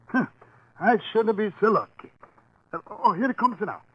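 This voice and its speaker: Husky, swooping, fawning pool-room owner. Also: male voice, high voice, animated, normal speed, a bit bright, heavily acted. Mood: eager, nervous. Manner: broken English, fawning, hesitant chuckling. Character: obliging, nervous immigrant pool-room owner. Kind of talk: 1940s radio crime acting. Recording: muffled vintage 1940s radio broadcast.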